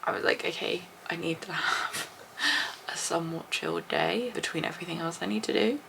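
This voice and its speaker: A young English woman vlogging casually to a handheld camera, chatty and rambling, her voice a little husky and deep, sometimes dropping to a soft whisper. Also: moderate pace, noisy background. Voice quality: slightly deep, husky morning voice. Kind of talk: casual vlog chat to camera. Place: at home and outdoors after a run. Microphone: small handheld camera.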